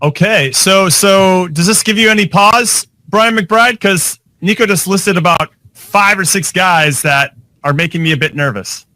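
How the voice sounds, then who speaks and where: A man's voice: high voice, measured pace, sharp tone, dry recording, poor audio. A man hosting a casual soccer podcast, upbeat and steering the talk. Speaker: podcast host.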